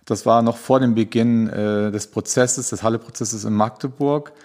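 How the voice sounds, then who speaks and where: A man's voice: mid-range voice, fairly even intonation, moderate pace, a little mellow. A man hosting a podcast interview, introducing guests with many hesitant fillers. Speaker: podcast host.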